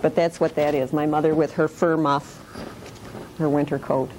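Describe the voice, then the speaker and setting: A woman in her late sixties from Detroit, telling her life story in a classroom interview in a wry, unhurried way. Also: low-pitched, flat delivery, darkish tone, a little hiss or hum. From Detroit, Michigan. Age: 60s. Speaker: interviewee sharing memories of the Depression. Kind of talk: oral history interview. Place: school classroom.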